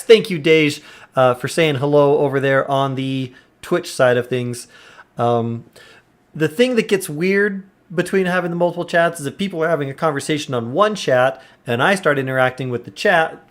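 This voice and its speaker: A man in his thirties chatting casually on a live stream from a quiet room at home, keeping his voice low on purpose and greeting viewers by name. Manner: deliberately quiet, subdued voice. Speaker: game streamer. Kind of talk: casual live-stream chat while gaming.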